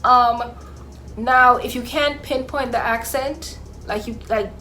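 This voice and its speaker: A woman's voice: fairly high pitch, slow, sharp tone, a little hiss or hum. A young woman from Jamaica with a mixed Jamaican and Bahamian accent, talking casually to camera with sassy, sarcastic asides. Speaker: vlogger.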